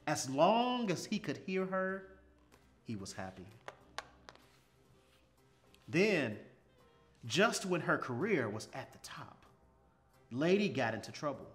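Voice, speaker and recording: Slow-paced man reading a storybook. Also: fairly high pitch, big pitch swings. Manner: measured, dramatic phrasing with pauses. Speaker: storybook reader. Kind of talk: expressive children's storybook narration. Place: small furnished indoor room, seated. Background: music.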